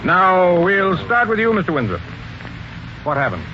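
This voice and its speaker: An actor playing a brisk, suspicious, no-nonsense police sergeant in 1940s radio mystery style, with a businesslike, probing manner. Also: male voice, high voice, huge pitch swings, a crowd in the background. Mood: commanding, brisk. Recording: noisy dull 1940s radio recording.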